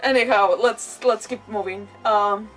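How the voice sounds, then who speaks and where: A woman giving casual, rambling livestream commentary with animated asides as she plays a game. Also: mid-range voice, measured pace, far from the mic, rough recording. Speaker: game streamer. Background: video game music.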